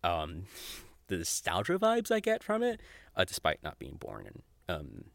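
A man's voice: deep voice, wildly swooping pitch, a little slow, gravelly, no echo, studio quality. A man in his twenties hosting a podcast, talking informally and unscripted with some swearing and frequent chuckling. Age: 20s. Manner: informal, profane, chuckles often while talking. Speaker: podcast host. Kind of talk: casual, unscripted podcast recommendations.

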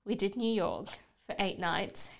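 A woman in her thirties with a British accent speaks as a podcast guest. Her voice sits at a medium pitch. She is candid and reflective, speaking conversationally about personal matters. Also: animated, measured pace, vocal fry. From United Kingdom. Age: 30s.

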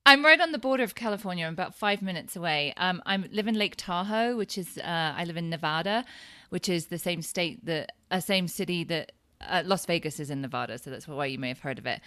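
A woman's voice: fairly low pitch, expressive, fairly quick, sharp tone, no echo. A woman in her fifties with an English accent, chatting casually and effusively as an interview guest. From East Anglia, England. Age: 50s. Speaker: interview guest, sober coach. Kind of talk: casual chat.

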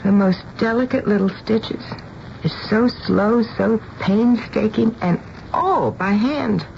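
An actress playing a concerned, kindly woman in a radio drama, earnest and gently expressive. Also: mid-range voice, takes it slowly, gravelly. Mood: worried. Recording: muffled vintage radio, faint noise.

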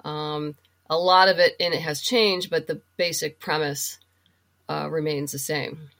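A woman's voice: low-pitched, fairly even intonation, unhurried, a bit bright. A middle-aged woman chatting and explaining things casually as a guest in an interview. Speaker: podcast guest, writer and producer.

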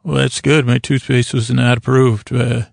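Very creaky, rambling American man. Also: medium pitch, little pitch movement, no room sound, studio quality. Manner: meandering, halting, trails off mid-thought. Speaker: podcast host. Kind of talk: rambling comedic bedtime monologue.

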